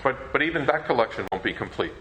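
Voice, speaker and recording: A man's voice: mid-range voice, expressive, quick pace, sharp tone. A man explaining informally as a presenter during a question-and-answer session at a talk. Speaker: panel presenter, radio program collector. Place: echoey convention meeting room. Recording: roomy echo with faint noise.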